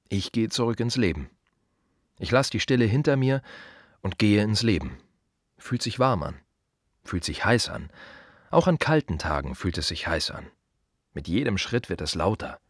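An actor playing a blunt, brooding gravedigger in a radio drama monologue, speaking colloquially in short, repeated, emphatic phrases. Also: male voice, deep voice, dry recording, clean studio sound, exaggerated voice. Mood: reflective. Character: blunt, brooding male gravedigger musing on life and death.

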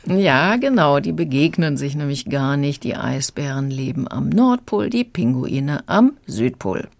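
A woman's voice: sits low, sing-song, unhurried, vocal fry, darkish tone, dry recording. A woman giving a radio review of children's audio, retelling and judging it in plain, explanatory words.

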